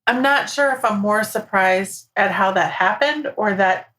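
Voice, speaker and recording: A woman's voice: mid-range voice, measured pace, fairly bright tone, rough recording. An American woman in her forties hosting a conversational interview podcast. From United States. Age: 40s.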